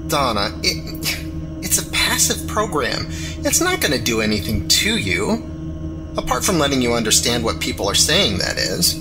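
An actor playing an enthusiastic, patient, mildly exasperated spaceship technician in an audio drama, explaining things breezily. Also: male voice, medium pitch, wildly swooping pitch, normal speed, music in the background, poor audio. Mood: reassuring, exasperated.

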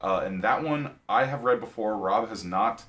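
Low-voiced podcast co-host, matter-of-fact. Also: male voice, moderate pace, slight background noise. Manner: steady, matter-of-fact, organized. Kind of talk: casual chat, wrapping up the episode.

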